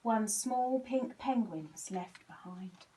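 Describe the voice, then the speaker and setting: A woman reading a children's storybook aloud in an expressive, animated way, acting out the dialogue. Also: fairly high pitch, slow pace, recorded from a distance, a little hiss or hum, low-quality recording. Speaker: volunteer story reader.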